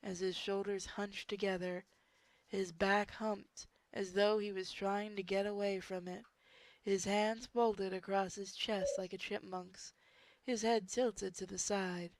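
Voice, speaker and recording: A woman giving an amateur dramatic story narration, reading deliberately and expressively. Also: mid-range voice, little pitch movement, no room sound. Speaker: narrator of online group readings.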